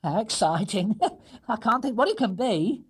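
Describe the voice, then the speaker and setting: A man voicing a middle-aged housekeeper woman in a children's story, brisk but easily touched. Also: high voice, lively intonation, husky, pitched above the performer's own voice, full character voice. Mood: curious, excited.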